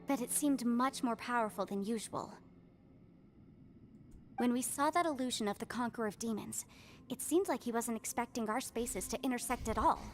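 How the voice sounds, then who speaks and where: A woman's voice: a little high, lightly acted. A woman voicing a clever, confident young strategist in a fantasy video game, explaining things earnestly and articulately. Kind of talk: scripted video game voice acting. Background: game music.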